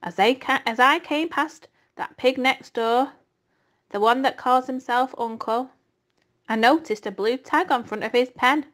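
Storybook rat read by a woman: husky, hoarse, bloated and smug, with snarled, drawn-out lines. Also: high-pitched, a bit bright. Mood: snarling. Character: gloating greedy male rat, husky and stuffed.

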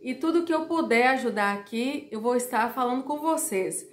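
Slightly hoarse woman in an echoey room. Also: fairly high pitch, animated, moderate pace. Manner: chatty, direct to viewers, repeats warnings. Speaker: YouTuber. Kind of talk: informal explainer vlog. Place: small room at home, indoors.

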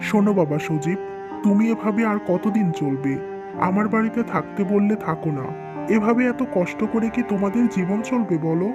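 A woman's voice: mid-range voice, moderate pace, lo-fi sound, over music, natural voice. A woman voicing a reassuring older father-in-law in a scripted cartoon, in a persuasive, advising way. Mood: concerned.

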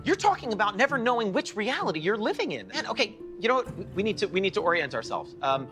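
A man's voice: high voice, sing-song, fast talker, fairly bright tone. An American man playing an agitated, earnest bar regular in a sitcom, over-explaining with urgent, stammering insistence. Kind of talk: sitcom acting. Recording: TV show playback with music.

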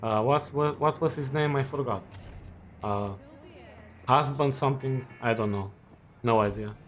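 A man in his twenties chatting casually while playing a game, relaxed and rambling with lots of fillers and restarts. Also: mid-range voice, a little slow, a little hiss or hum. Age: 20s. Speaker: student gaming while chatting. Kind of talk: casual chat over gameplay.

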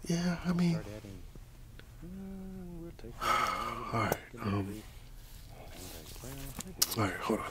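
A man doing soft-spoken ASMR roleplay, often whispering, talking in a halting, unhurried murmur as if to a friend beside him. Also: medium pitch, animated, very noisy. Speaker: ASMR creator.

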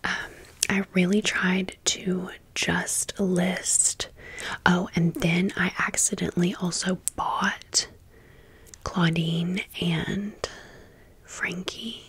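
A woman whispering in a breathy, unvoiced voice, chatting informally to viewers as friends in a casual ASMR storytime. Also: on the low side, little pitch movement, drawn-out words, a bit bright. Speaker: ASMR video creator and host.

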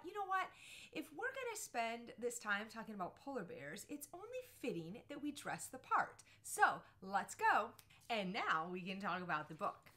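Woman in her thirties, bright forceful voice, lively swooping intonation, emphatic storyteller, book channel host. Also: fairly high pitch. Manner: animated, emphatic storytelling delivery. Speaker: book review channel host for parents and teachers. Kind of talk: upbeat scripted book recommendation to camera. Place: small furnished room with bookshelves, indoors.

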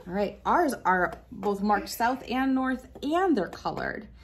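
A woman's voice: fairly high pitch, animated, slow pace, a little hiss or hum. Woman reading aloud to young children. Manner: instructive, addressing young children. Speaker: children's read-aloud host. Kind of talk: reading a picture book aloud with casual demonstration asides. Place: small wood-panelled room indoors.

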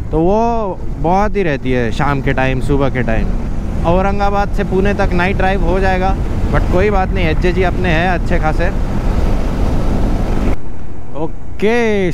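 A man in his twenties narrating a casual travel vlog while riding a motorcycle on the highway, chatty and mixing in English phrases. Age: 20s. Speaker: motovlogger. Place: outdoors on a motorcycle on highways and town roads. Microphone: helmet or action camera mic while riding. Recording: loud wind and road noise.

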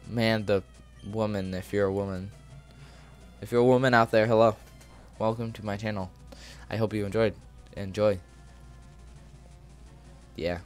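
A man chats casually as he plays a video game. His voice is on the low side and he takes his time, drifting along at a very slow pace. He is goofy and jokey, often circling back to repeat his own phrases, with faint game music playing behind him. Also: fairly even intonation. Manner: goofy, joking, repeats himself. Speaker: gaming video creator. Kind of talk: casual rambling Let's Play commentary.

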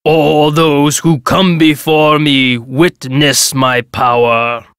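A proud ancient king in a video game. He declaims slowly and pompously in a smooth, resonant, dry voice. Every phrase is a command from a mighty ruler. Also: fairly high pitch, flat delivery, fairly bright tone, no echo. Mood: imperious. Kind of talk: video game character acting.

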